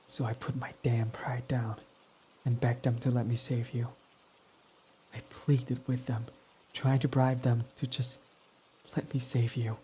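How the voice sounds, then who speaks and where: Male performer as a young tsundere villain, gruff but smitten, mellow tone, middle pitch. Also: level tone, a little slow, long pauses, slight background noise, close to an everyday voice, poor audio. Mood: quiet, confessing. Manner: defensive, swears when flustered. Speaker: ASMR roleplay performer. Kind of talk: ASMR roleplay.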